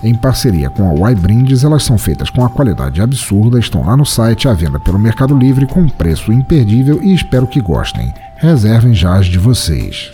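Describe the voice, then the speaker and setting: A man's voice: fairly low pitch, sing-song, a little fast, hardly any pauses, dark tone, soft background music. A man with a Brazilian Portuguese accent, hosting a podcast and reading a horror story in a theatrical, playful way. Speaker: podcast host and story reader. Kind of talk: podcast announcements and scripted horror story narration.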